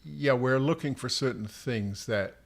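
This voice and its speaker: A man co-hosting as an author, explaining things to readers in a casual, halting way. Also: mid-range voice, creaky. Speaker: author and livestream co-host.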